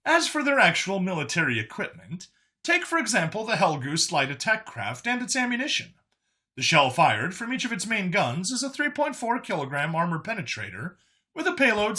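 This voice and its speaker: Guy narrating a sci-fi story like a wry briefing, bright voice, super animated intonation. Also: high voice. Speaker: narrator of a science fiction story. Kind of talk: scripted dramatic story narration as an alien official giving a briefing.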